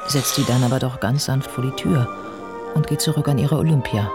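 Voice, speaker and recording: An actor playing the observant narrator of a literary radio play, setting scenes in a measured, descriptive way. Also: male voice, medium pitch, loud music behind the voice, lightly acted. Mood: observing.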